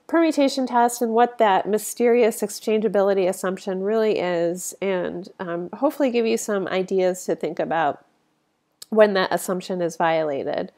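A woman's voice: mid-range voice, darkish tone. A woman with a slight cold, sounding sniffly, narrating a statistics lecture over slides in an informal, conversational way with frequent fillers.

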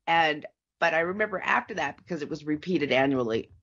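A middle-aged woman with a fairly low voice talks casually on a podcast panel. Her pitch moves around a lot as she wanders through her thoughts. The audio is slightly dull. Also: lively intonation, brisk, fairly bright tone, breathy edge. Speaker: podcast panelist reviewing old radio shows. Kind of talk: casual chat.

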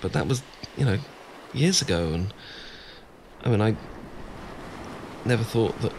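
A man with a British accent playing a shy, adorkable, flustered young man in love, stammering and hesitant in an ASMR boyfriend roleplay. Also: mid-range voice. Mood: bashful confession. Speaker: audio roleplay performer. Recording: clear background ambience noise.

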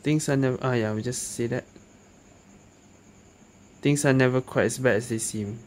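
A woman's voice: a bass voice, steady tone, moderate pace, a little mellow, a little hiss or hum. A woman game streamer giving casual commentary as she plays. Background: game music.

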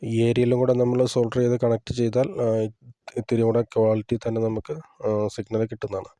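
A man's voice: mid-range voice, little pitch movement, brisk, dark tone, no room sound. A man giving a casual hands-on tutorial, explaining plainly step by step as a hobbyist presenter.

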